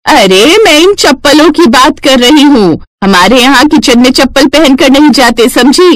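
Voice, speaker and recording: A woman's voice: high voice, expressive, normal speed, no echo, voice pushed up. A woman voicing a scolding, old-fashioned elderly grandmother-in-law in a story, with an exclaiming manner. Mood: bossy. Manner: exclaiming, scolding, rustic dialect speech.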